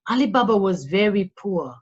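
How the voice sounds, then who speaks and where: A woman narrating a storybook in a deliberate, expressive read-aloud manner for children, on a slightly dull recording. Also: mid-range voice, husky.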